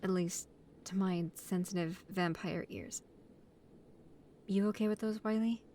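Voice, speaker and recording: A woman plays a young female vampire in an audio roleplay, a bossy but considerate housemate. She speaks slowly at a middling pitch, laying down rules in a halting, matter-of-fact manner. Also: fairly even intonation, warm tone, slight background noise, natural voice. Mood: matter-of-fact, polite. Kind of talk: roleplay audio acting, addressing the listener.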